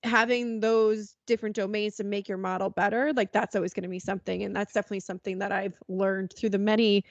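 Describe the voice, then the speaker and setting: A woman's voice: mid-range voice, flat delivery, quick pace, hardly any pauses, no room sound. A woman hosting a podcast, chatting casually in loose, rambling sentences. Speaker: podcast host. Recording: dry, slightly dull-sounding.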